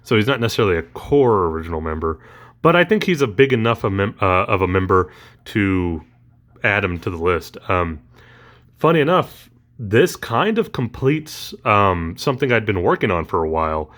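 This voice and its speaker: A man's voice: fairly low pitch, big pitch swings, normal speed, a bit bright. A man talking casually through a toy unboxing, rambling with lots of ums and uhs, his voice recently back after allergies.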